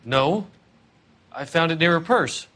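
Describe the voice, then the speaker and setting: An American actor playing a creepy, defiant rail yard worker in a video game, prissy and self-justifying. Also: male voice, a little high, expressive, slow pace, pushed and bright, full character voice. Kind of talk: video game dramatic acting.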